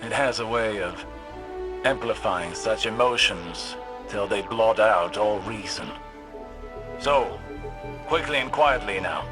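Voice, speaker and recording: A stern, measured mentor. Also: male voice, fairly low pitch. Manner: calm, measured, commanding. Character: stern wise male mentor and mission overseer. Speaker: mission guide character in a video game. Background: game music.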